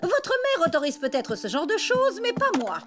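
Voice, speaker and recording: A prim, fussy female elephant babysitter for a French cartoon. Her voice is high and swoops widely as she scolds and condescends, with light music behind her. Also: huge pitch swings, moderate pace, fairly bright tone, heavily acted. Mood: bossy, fussy.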